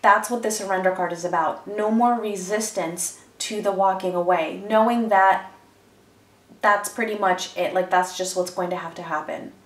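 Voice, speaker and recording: A woman's voice: mid-range voice, steady tone, normal speed, recorded from a distance. A woman with a stuffy cold giving a chatty, meandering tarot reading full of ums, in a room at a table. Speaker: tarot reader. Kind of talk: casual tarot reading to camera. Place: indoors at a table, room with echo. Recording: echoey room, mic set back.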